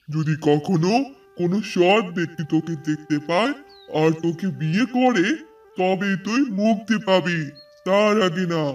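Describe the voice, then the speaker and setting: An angry holy hermit from a cartoon folk tale. He scolds and declaims sternly in a high-pitched male voice. He sounds a little far from the mic, with music underneath. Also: a little mellow. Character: wrathful holy hermit who lays curses. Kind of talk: Bengali cartoon fairy-tale voice acting.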